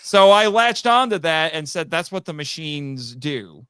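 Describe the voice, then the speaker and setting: A man speaking as a podcast guest in an animated, casual discussion, wandering into tangents with stammering restarts and frequent chuckles. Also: a little high, unhurried, clean tone, no room sound. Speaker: podcast guest, voice actor and writer.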